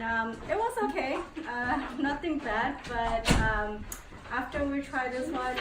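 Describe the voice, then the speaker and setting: A woman, a parent, giving an informal testimonial. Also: on the high side. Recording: echoey room, distant mic, clear noise.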